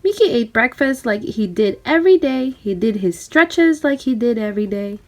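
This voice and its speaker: Lady reading a kids picture book out loud, high pitched n super animated. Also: normal speed. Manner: animated, emphatic, child-directed storytelling. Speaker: storybook reader. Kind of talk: expressive children's read-aloud narration.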